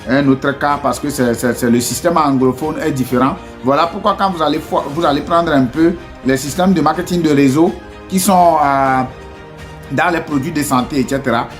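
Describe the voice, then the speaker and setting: A man from Togo with a Togolese French accent, giving a casual motivational talk to his community, hesitant with many fillers and restarts, then pressing with earnest rhetorical questions. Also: a little high. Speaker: entrepreneur addressing his community.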